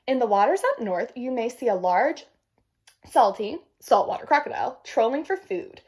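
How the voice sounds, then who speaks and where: A woman's voice: a little high, expressive, normal speed, darkish tone, slightly rough. A woman reading a children's nature book aloud, with casual teacherly asides to young listeners. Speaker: read-aloud presenter.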